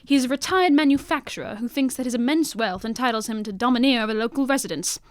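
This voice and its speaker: A woman's voice: a little high, fast talker, no echo, studio quality, close to an everyday voice. A woman playing a retired older detective in an audio drama, commanding yet affectionate, with formal old-fashioned phrasing. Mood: irritated, dismissive.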